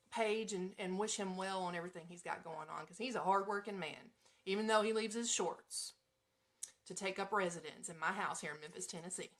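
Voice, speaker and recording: A woman with a Southern US accent hosting a live show from home, chatting to listeners in a playful, folksy, expressive way. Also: medium pitch. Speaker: radio show host. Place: at home in an apartment.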